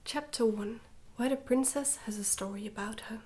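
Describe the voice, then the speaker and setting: A woman reading a storybook in a soft-spoken ASMR style, slipping often into a whisper, with a gentle, hushed delivery. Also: fairly high pitch, normal speed, very noisy. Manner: gentle, hushed delivery with some stumbles over words. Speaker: ASMR reader, channel host.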